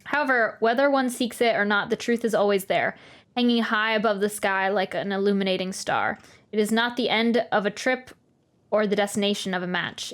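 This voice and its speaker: A woman doing a casual video game playthrough, reading game text aloud and adding wry asides. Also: medium pitch. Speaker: game streamer. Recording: game music behind the voice. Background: video game music.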